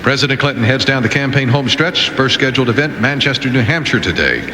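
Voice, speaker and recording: A man's voice: medium pitch, lively intonation, quick pace, pushed and bright. A man reading news headlines on a morning radio show, where he also serves as sidekick. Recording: roomy, noisy old radio broadcast.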